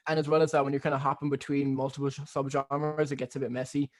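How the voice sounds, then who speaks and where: A young man from Ireland with a softened Cork accent leaning American, rambling casually through an interview with lots of fillers and restarts. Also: medium pitch, flat delivery, fast talker. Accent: neutral Cork Irish with American influence. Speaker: musician guest.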